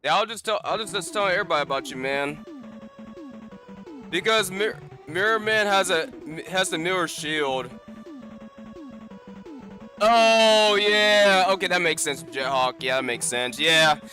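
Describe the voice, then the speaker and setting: Male gaming streamer, bright, slightly high voice, rambling casual commentary, game music behind. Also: lively intonation, unhurried, pauses often. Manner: rambling, many fillers and restarts.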